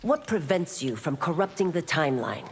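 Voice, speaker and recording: An actress playing a stern, principled chief constable in a fighting game, speaking formally and with authority in a reverberant space. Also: female voice, deep voice, very animated, normal speed, breathy edge, exaggerated voice. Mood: solemn, probing. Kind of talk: scripted fighting game dialogue.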